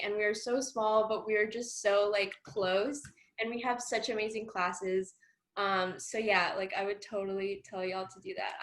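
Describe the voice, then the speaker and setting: A woman's voice: mid-range voice, a bit bright. Enthusiastic young woman, informal student speaker. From Peru. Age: young adult. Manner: enthusiastic, gushing, slangy. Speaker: student panelist. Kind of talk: informal personal account on a panel.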